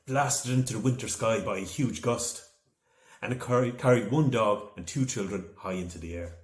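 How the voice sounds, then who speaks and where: A man reading a children's storybook aloud in an expressive, dramatic storytelling manner. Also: lowish voice, moderate pace. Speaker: author reading from own novel. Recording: echoey room.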